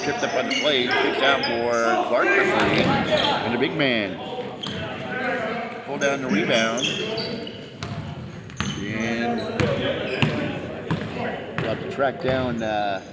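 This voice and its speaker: Man calling basketball play-by-play. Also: mid-range voice. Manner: brisk, talks over the action. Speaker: play-by-play announcer. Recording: loud crowd and bouncing balls behind. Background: gym crowd and basketball bounces.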